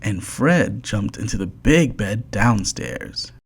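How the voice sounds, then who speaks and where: A storyteller reading a children's storybook aloud in a deliberate, expressive way, with a medium-pitched voice. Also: vocal fry, slightly rough. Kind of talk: scripted children's storybook narration.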